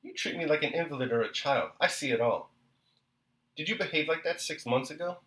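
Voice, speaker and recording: A man's voice: mid-range voice, big pitch swings, normal speed, close to an everyday voice, rough recording. A man voicing a petulant young pregnant princess who complains and pleads tearfully. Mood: tearful pleading. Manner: querulous, pleading.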